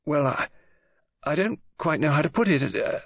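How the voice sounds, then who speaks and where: Man voicing a breathy, high-pitched nervous young woman. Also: expressive, moderate pace, fairly bright tone, dry recording, lo-fi sound, heavily acted. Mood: flustered. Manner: hesitant, stammering. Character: nervous, apologetic young woman, about twenty, blurting awkwardly.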